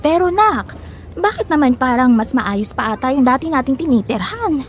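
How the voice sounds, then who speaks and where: A woman playing a worried elderly mother in an audio drama, gently doubtful and questioning. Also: sits high, big pitch swings, normal speed, a little hiss or hum.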